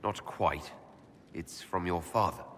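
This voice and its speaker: An actor playing a calm, protective fantasy leader in a video game, with a husky voice and a plain, direct way of speaking. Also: male voice, sits low, takes it slowly, a little hiss or hum. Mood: steady, reassuring. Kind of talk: dramatic video game acting. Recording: long hall reverb.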